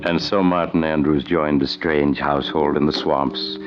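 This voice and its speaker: A man narrating an old radio drama in a grave, measured way. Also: rumbling low, steady tone, over music. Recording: dull lo-fi old radio recording.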